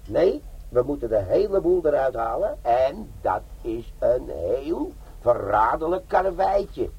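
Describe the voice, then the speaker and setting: An actor playing a cocky, chatty bomb-disposal sergeant of middle age, with darkly joking teasing, in a Dutch comic radio drama. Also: male voice, mid-range voice, sing-song, slow, gravelly, slightly rough, lo-fi sound, heavily acted.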